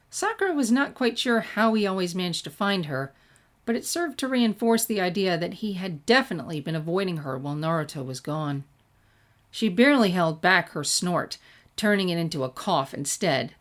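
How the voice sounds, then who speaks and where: Woman narrating a story, lowish voice. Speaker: audiobook-style reader of fan fiction.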